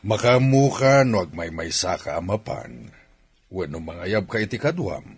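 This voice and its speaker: A man plays an authoritative father and company boss in an Ilocano radio drama. His very deep, husky voice moves expressively, with an Ilocano accent. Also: animated, normal speed, heavily acted. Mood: authoritative, accepting. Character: elderly-sounding father, authoritative but agreeable company boss.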